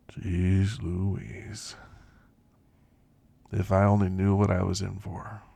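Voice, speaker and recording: Elderly male werewolf played by a man, ASMR roleplay: husky, heavy fry, world-weary folksy storyteller, close dry sound. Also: darkish tone, no echo, heavily acted. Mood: wry, confiding. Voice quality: gravelly, husky low voice. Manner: unhurried, folksy, reminiscing with dramatic pauses.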